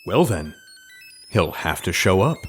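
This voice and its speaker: A man's voice: deep voice, sing-song, a little slow, breathy edge, exaggerated voice. Man playing a theatrical, self-important actor with swooping delivery. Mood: earnest, lofty. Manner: grandiose, dramatic, earnest. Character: self-important, theatrical male actor turned undercover spy. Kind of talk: scripted comedy audio drama acting.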